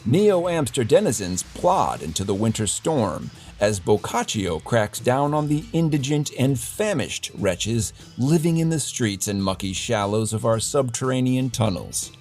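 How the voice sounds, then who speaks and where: A man's voice: medium pitch, very animated, measured pace, hardly any pauses, darkish tone, soft background music. A man playing a wry, defiant news host in a dystopian future city, delivering a satirical scripted newscast in a theatrical, mock-grand way. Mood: wry newsreading.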